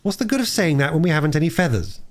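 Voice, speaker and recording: Man putting on an anxious high-pitched young girl. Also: very animated, very fast. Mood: exasperated. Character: anxious, tearful young girl Phyllis, easily exasperated.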